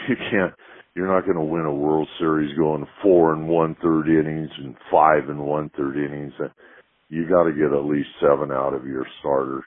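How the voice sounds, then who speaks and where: A man leaving a halting, plain-spoken voicemail with his opinion on sports. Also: a bass voice, little pitch movement, no echo. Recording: muffled narrowband phone voicemail.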